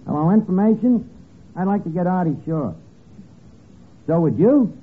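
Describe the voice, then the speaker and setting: Brash New York tavern manager, slangy and wisecracking, high swooping delivery, tinny old radio sound with audience. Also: male voice, animated, moderate pace, noisy background. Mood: breezy hustling. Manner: slangy, malapropism-prone, streetwise patter. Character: Archie, brash wisecracking male tavern manager, streetwise and unschooled. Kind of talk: 1940s radio comedy acting.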